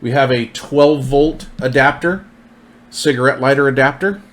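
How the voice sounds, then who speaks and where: A man's voice: medium pitch, normal speed, fairly bright tone, a little hiss or hum. A man giving an informal product overview, explaining features in a plainspoken way. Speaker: product reviewer presenting gear.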